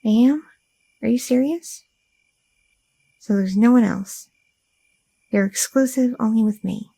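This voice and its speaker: A woman voicing a sweet, anxious young goth girlfriend in an ASMR roleplay, talking softly and a little hesitantly, close to the mic. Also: a little high, unhurried, creaky, husky, no echo, exaggerated voice. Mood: tender. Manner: soft, affectionate, a little hesitant, with fillers.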